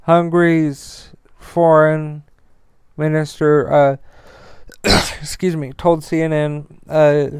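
Male podcast host with a stutter. Slow, halting and very flat in pitch, recorded dry. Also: clean tone, no room sound. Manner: halting, stumbling delivery. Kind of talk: news rundown and sponsor reads, informal.

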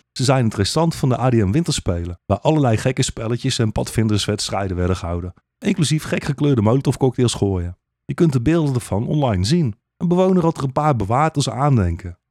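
Guy reading a satirical radio story, sardonic, medium pitch, no room sound at all. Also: darkish tone, no echo, studio quality. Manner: sardonic, rhetorical. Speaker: narrator.

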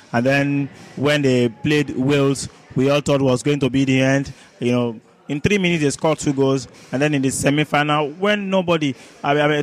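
A man on a sports podcast panel talking casually, in a halting way, repeating words and phrases for emphasis. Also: mid-range voice.

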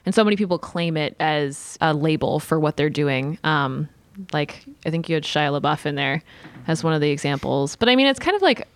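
Woman on a podcast, lowish voice, super casual filler-heavy chat, dry audio. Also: lively intonation, brisk, no room sound. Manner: informal, peppered with "like" and "I mean". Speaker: podcast panelist.

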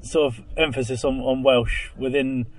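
A young man interviewing a band member in a casual chat, asking halting questions full of restarts and "obviously". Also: medium pitch, fairly even intonation, dry recording.

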